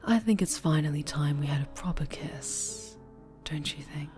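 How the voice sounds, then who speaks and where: A woman playing an obsessive, possessive dark-angel superheroine turned villain in an intimate ASMR roleplay, half-whispering with menacing tenderness. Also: sits low, moderate pace, over music, close to an everyday voice. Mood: tender, possessive.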